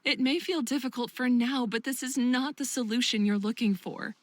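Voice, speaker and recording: A woman's voice: a little high, moderate pace, no echo, natural voice. A woman playing a caring, protective young best friend in a visual novel game, earnest and emotive. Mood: pleading, worried.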